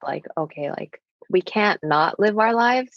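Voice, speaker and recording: A woman's voice: medium pitch, animated, measured pace, gravelly, dry recording. A young woman from the United States whose first language is Japanese, speaking as a podcast guest in an earnest, thoughtful way, with many casual fillers. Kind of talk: reflective personal conversation. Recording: dull lo-fi sound.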